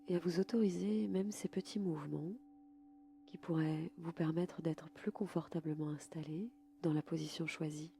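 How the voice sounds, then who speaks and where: Woman doing slow guided relaxation, low soft breathy voice, calm and soothing, bit of background hiss. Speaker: podcast host and yoga nidra guide.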